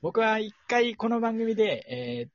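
A young man hosting a casual podcast, playfully deadpan, slipping between polite announcer phrasing and chatty rambling. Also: high-pitched, moderate pace, dry recording. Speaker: podcast host. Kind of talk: casual two-person podcast chat with mock news segment. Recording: dull lo-fi audio.

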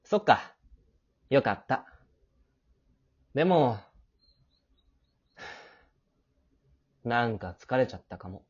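A woman playing a clingy, teasing, possessive teenage boy in love, with a husky, breathy, languid voice, in an amateur romantic audio drama. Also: extremely low pitch, very animated, measured pace, a little mellow, heavily acted. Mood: sulky, probing. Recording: dull, lo-fi home recording.

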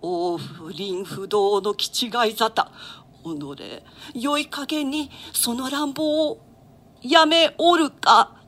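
An old dowager duchess, acted by a woman, speaks with a bright, forceful edge. Her diction is archaic and theatrical, as befits a dignified, world-weary grandmother in a classical stage play. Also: on the high side, slow pace, slight background noise. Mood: anguished lament.

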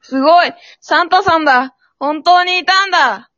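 A woman's voice: very high-pitched, moderate pace, a bit bright, no echo, highs cut off. A woman playing an innocent, eager small son in an amateur comedy radio drama, with childlike manner. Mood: excited. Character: small, innocent, wide-eyed excited son.

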